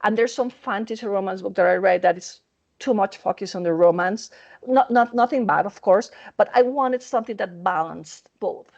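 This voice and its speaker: An earnest woman author talks about her writing in an interview over a video call. She speaks in halting bursts and often restarts her sentences. Her voice sits at an ordinary middle pitch. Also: creaky, a little mellow, dull audio. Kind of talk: interview answers.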